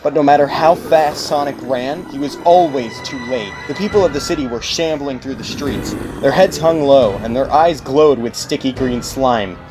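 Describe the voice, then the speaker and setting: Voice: mid-range voice, normal speed, few pauses, loud music behind the voice. A narrator reading a scripted dramatic audiobook story.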